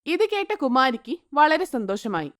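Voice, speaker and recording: A woman narrating an animated moral story in a scripted storybook style. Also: sits high, moderate pace. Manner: even, storytelling tone.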